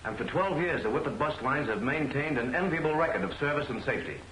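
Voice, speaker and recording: Highish male voice, quick formal delivery, worried company president, echoey hissy old film. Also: animated, fairly bright tone. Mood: earnest. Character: earnest, worried bus company president pleading his case. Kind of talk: formal 1940s film serial acting.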